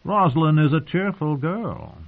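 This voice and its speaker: Casting: smooth-tongued noble envoy acted by a male audiobook reader, formal, insistent, courteous; thin phone-like recording. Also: on the high side, lively intonation, measured pace, voice pushed up. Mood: smoothly persuasive. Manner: formal, diplomatic, politely insistent.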